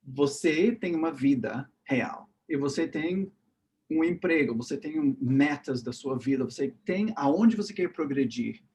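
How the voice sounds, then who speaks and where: Man, teacher hosting a podcast, lively delivery, slightly high voice. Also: unhurried. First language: English. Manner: animated, repeats "né?" and "tá?" often. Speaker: English teacher and podcast host. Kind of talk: casual podcast chat.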